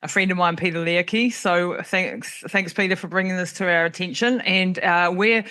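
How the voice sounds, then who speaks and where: A woman's voice: on the low side, fairly even intonation, moderate pace, sharp tone. A woman hosting an interview, chatty and enthusiastic, often laughing as she builds her questions. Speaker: podcast host.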